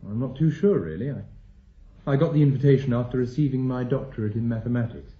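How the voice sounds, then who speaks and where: A British actor playing an earnest, anxious young mathematician who is quick to indignation, in a radio drama. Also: male voice, medium pitch, dull audio, close to an everyday voice. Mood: guarded. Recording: roomy, echoing radio drama recording.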